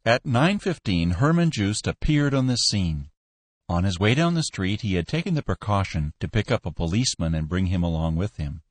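A man narrating a story as an audiobook, with expressive, polished reading. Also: deep voice, moderate pace, no room sound.

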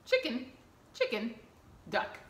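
A woman's voice: on the high side, huge pitch swings, slow, fairly bright tone. A middle-aged woman leading a storytime for toddlers, speaking in simple, short, repeated phrases as a children's librarian. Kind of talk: children's storytime, reading aloud and counting along. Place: small indoor room with curtain backdrop. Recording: echoey room with clear background noise.

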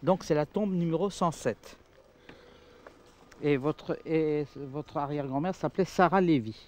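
A man's voice: on the high side, unhurried, dark tone. A middle-aged man acting as a local guide, explaining things in conversation outdoors. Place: outdoors in a village cemetery. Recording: outdoor camera sound, faint noise.

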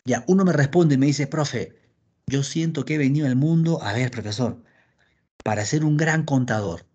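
A man teaching a philosophy class over a video call, lively and acting out his students' questions. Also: medium pitch, normal speed, slightly rough. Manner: animated, acts out students' questions. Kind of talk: online class lecture. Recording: dull lo-fi call audio.

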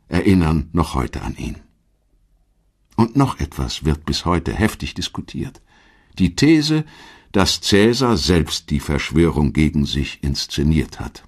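A man reading a scripted audio documentary in a measured, dramatic storytelling manner, with a husky voice. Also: sits low. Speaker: documentary narrator. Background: background music.

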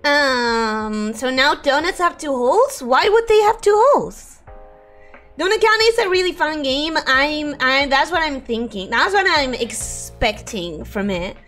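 A young woman streaming casually, her voice hoarse and ragged from sickness, giggling often as she reads chat and greets viewers. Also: sits high, lively intonation, unhurried, a bit bright, faint music behind.

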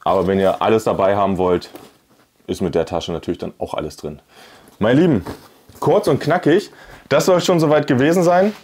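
A man's voice: lowish voice, a little fast, a little mellow, slight background noise. A man, chattily presenting and demonstrating products in a casual video review on a clip-on mic. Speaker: YouTube host, product reviewer. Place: small indoor room with plain wall. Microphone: clip-on lavalier on shirt.

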